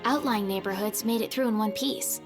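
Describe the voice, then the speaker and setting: A woman's voice: mid-range voice, moderate pace, lightly acted. An actress playing a friendly, caring young woman in a video game, speaking in an earnest, conversational way. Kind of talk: English video game voice acting. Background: music.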